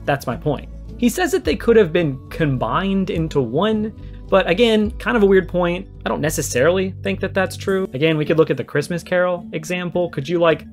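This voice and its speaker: A man with a fairly high voice delivers animated commentary for a response video. He argues his case emphatically and explains it point by point, with music playing behind him. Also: normal speed. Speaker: video essayist responding to another creator.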